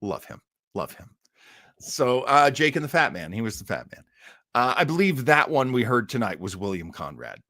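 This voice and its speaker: A man's voice: mid-range voice, big pitch swings, moderate pace, bright, forceful tone, dry recording, studio quality. A man hosting an old-time radio listening show, chatting casually in a rambling way full of asides. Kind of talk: casual live-stream chat.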